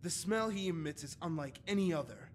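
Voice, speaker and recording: A man's voice: fairly high pitch, lively intonation, a little fast, slight background noise, close to an everyday voice. A man playing a haughty, prickly poet and bard in a fantasy game, speaking in a florid, pompous, scornful way. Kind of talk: scripted fantasy game dialogue.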